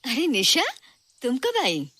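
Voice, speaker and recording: A woman's voice: high voice, huge pitch swings, takes it slowly, bright, forceful tone, dry recording, studio quality, full character voice. An actress playing a busy, affectionate middle-aged mother in a Hindi film, over faint music. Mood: cheerful, hurried.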